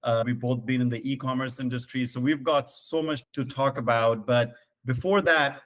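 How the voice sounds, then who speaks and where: A man's voice: mid-range voice, fairly even intonation, moderate pace, a bit bright. A man hosting a podcast interview, welcoming his guest in an upbeat, enthusiastic way. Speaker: podcast host.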